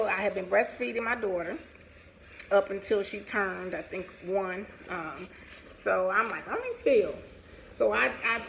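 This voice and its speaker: Creaky-voiced woman telling her story. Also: mid-range voice, fairly bright tone, a little hiss or hum, rough recording. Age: 40s. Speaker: vlogger sharing her cancer story. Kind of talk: casual personal storytelling while getting ready.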